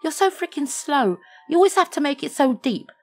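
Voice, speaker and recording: A woman's voice: high voice, very animated, fairly quick, darkish tone, faint music behind, voice pushed up. A woman voicing a brash, slangy young female best friend who teases bluntly and impatiently in an audio story. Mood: exasperated. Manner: slangy, mocking, exasperated. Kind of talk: scripted audio story dialogue.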